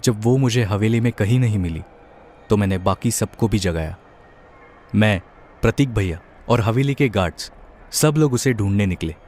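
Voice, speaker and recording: A man's voice: fairly low pitch, a little mellow, a little hiss or hum, lightly acted. Man playing a heartbroken young groom. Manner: earnest, heavy-hearted storytelling. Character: young groom haunted by past grief. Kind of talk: first-person story narration.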